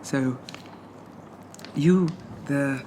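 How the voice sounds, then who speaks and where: A man playing a shy, polite, lovestruck Victorian zombie gentleman, speaking softly and haltingly with flowery apologies in an ASMR roleplay. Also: mid-range voice, background noise. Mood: embarrassed. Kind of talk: ASMR roleplay, soft-spoken character monologue.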